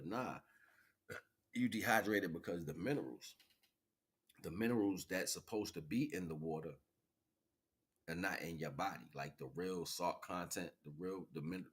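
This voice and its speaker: A man's voice: deep voice, husky. A man in his forties hosting an informal livestream monologue, talking in a rambling, slangy way, repeating phrases and checking in with the listener. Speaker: livestream host.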